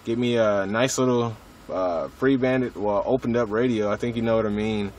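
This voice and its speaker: A man's voice: fairly low pitch, fairly even intonation, normal speed, a little mellow. Laid-back man chatting on CB, background noise. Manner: laid-back slangy talk with swearing and CB jargon. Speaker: CB radio hobbyist filming his radio chat. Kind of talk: casual two-way radio chat. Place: inside a vehicle at a truck stop. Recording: noisy mobile recording.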